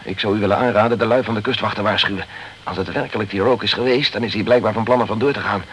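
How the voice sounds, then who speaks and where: Man acting a brisk, urgent investigator, rapid-fire. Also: lowish voice, steady tone, a bit bright, natural voice. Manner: brisk, insistent, commanding. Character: urgent, pushy male investigator pressing for the truth. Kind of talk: Dutch radio crime drama acting. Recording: noisy old radio play recording.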